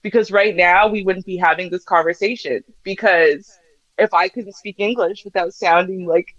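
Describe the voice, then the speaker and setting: A woman's voice: fairly low pitch, fairly even intonation, moderate pace, fairly bright tone, no echo. Woman from Canada, chatty, self-mocking, laughs a lot, dry, slightly muffled call audio. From Canada, Jamaican family. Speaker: regular guest co-host. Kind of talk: casual livestream chat.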